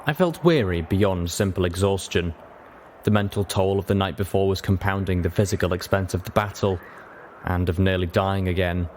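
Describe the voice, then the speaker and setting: Male audiobook narrator, lower-pitched, scripted first-person storytelling, faint noise in the recording. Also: normal speed, a little mellow.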